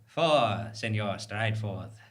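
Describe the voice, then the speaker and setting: A man playing a serene, ageless high priest in a live comic audio drama, speaking in a measured, formal, grandiose way. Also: lively intonation, fairly bright tone. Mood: grand, proud. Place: small theatre stage, live performance.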